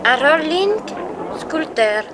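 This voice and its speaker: A woman's voice: high-pitched, sing-song, slow, full character voice. An actress playing a timid, wary young immigrant girl in a 1960s French radio drama, hesitant and plaintive. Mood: uneasy. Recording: old radio recording with street noise.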